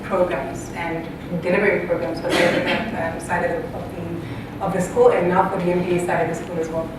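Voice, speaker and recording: A woman from Zambia and Botswana giving a public talk, speaking haltingly with repeated words and frequent pauses. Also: flat delivery. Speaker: university faculty member, guest speaker. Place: large echoey room, live talk. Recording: echoey hall, distant mic, noisy rumble.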